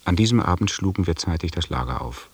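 Expedition chronicler, very low husky voice, sober radio drama narration, set back from the mic. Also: male voice, animated, quick pace, darkish tone, no room sound, recorded from a distance. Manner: sober, matter-of-fact. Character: sober male expedition member recounting events. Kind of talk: scripted audio drama narration.

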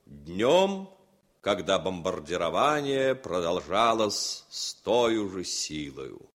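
A man's voice: sits low, big pitch swings, takes it slowly, fairly bright tone. A man narrating a scripted radio drama.

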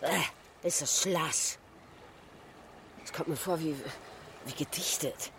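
An actress playing a capricious, passionate Parisian courtesan in a radio drama, teasing and tough, with giggles and drawn-out questions. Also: female voice, low-pitched, creaky, background noise, full character voice. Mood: probing.

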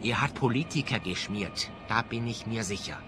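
A man's voice: fairly low pitch, normal speed, close to an everyday voice. A man acting an indignant, righteous activist under suspicion in a comic radio play, speaking emphatically in clipped sentences. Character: indignant, righteous male anti-tobacco activist accused of murder.

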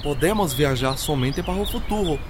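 An actor playing a learned, courteous physicist who explains patiently and formally in a radio drama. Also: male voice, on the high side, expressive, a little fast, noisy background, lightly acted. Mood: patient explaining.

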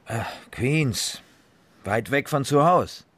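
An actor playing a commanding, sardonic police sergeant interrogating suspects in a radio crime drama. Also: male voice, mid-range voice, lively intonation, slow, a bit bright, no room sound. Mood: stern, sarcastic.

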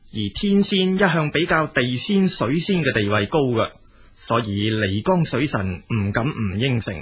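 A man's voice: mid-range voice, fairly bright tone, breathy edge. A man with a Cantonese accent narrating a scripted folk tale as a storyteller.

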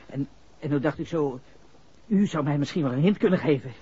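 Casting: nervous young suspect in a 1960s radio serial, markedly raspy, stammering and slangy, dulled old recording. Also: male voice, on the high side, a little fast, warm tone. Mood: anxious, pleading. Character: nervous, shifty young man under police suspicion. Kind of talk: 1960s Dutch radio drama acting. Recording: muffled vintage radio recording.

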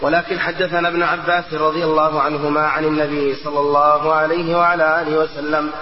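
A man's voice: fairly high pitch, little pitch movement, quick pace. A man reading a religious text aloud in a recitational manner, as a student reader would. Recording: muffled, very echoey hall.

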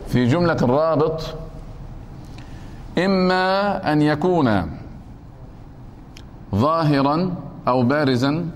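A man teaching a grammar class, explaining slowly and repeating points, often stopping to ask whether it is clear. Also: a little high, expressive, a little hiss or hum. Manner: deliberate, repeats points and keeps asking if it is clear. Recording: long reverb of a hall.

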